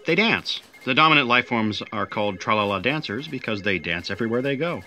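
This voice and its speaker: A man's voice: mid-range voice, expressive, fairly quick, pushed and bright. An actor playing a patient, wry male broadcast assistant who explains things in comic sci-fi audio theatre. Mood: patient, explanatory.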